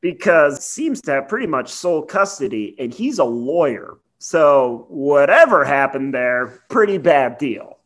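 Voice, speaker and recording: A man's voice: on the high side, very animated, unhurried, few pauses, fairly bright tone. A man co-hosting a podcast, explaining legal points about a film in a casual, conversational way with wry asides. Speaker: podcast co-host.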